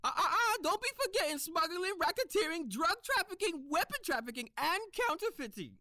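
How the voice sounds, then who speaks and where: A woman's voice: very high voice, very animated, a little fast, bright, forceful tone, pitched above the performer's own voice, heavily acted. A woman voicing a suspicious, sulky alter ego of a detective, with a grumbling, blunt manner. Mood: sarcastic.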